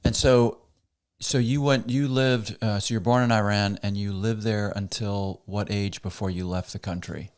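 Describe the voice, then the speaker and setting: A man hosting an interview podcast, his voice on the lower side. He affirms his guest warmly in words and asks long, winding questions that circle before they land. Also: fairly even intonation, normal speed, clean tone. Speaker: podcast host. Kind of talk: interview host.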